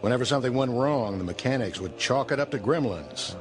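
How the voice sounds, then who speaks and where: A man's voice: mid-range voice, expressive, fairly quick, lightly acted. A man playing an easygoing, dryly joking airline captain in a radio drama. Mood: wry. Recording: music behind the voice.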